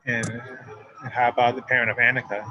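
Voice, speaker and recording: A man hosting a webinar panel, asking halting questions with restarts over a video call. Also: medium pitch, level tone, highs cut off, noisy background. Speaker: panel host.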